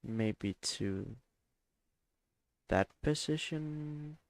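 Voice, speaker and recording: A man's voice: a little high, very slow, gravelly, no echo. A man from Denmark, chatting hesitantly with many fillers while streaming a flight simulator. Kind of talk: live stream gameplay chat.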